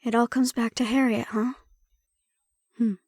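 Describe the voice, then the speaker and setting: Woman acting a prickly yet caring handler, higher-voiced. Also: flat delivery, measured pace, darkish tone, no echo, studio quality. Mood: gentle, sympathetic. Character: prickly but caring female handler of a captive hybrid. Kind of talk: intimate audio roleplay acting, one-sided conversation.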